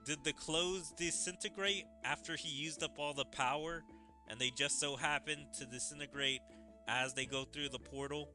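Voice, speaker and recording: A man's voice: a little high, steady tone, moderate pace, bright, forceful tone, faint music behind. A man giving casual, musing commentary on a video game as a streamer. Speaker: gaming streamer. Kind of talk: let's play commentary, reading game dialogue aloud.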